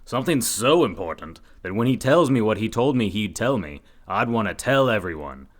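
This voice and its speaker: Man doing an earnest dim reporter, deadpan, lively pitch, comedy radio play. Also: normal speed.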